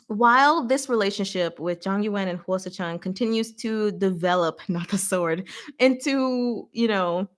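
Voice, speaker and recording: A woman hosting a casual live book discussion, retelling the plot in an animated, giggly, informal way with acted-out asides. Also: medium pitch. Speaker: host of a livestream book discussion. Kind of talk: casual chatty live story recap with commentary.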